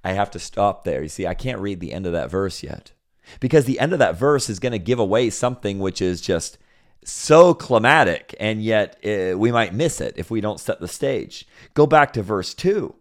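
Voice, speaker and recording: A man from Senegal preaching a sermon and reading scripture, repeating phrases and building to loud, emphatic peaks. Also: on the low side, expressive, moderate pace.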